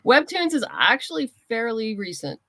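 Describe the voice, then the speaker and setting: A woman's voice: fairly high pitch, big pitch swings, moderate pace, a bit bright, no room sound. A woman being interviewed as a writer, giving explanatory, conversational answers.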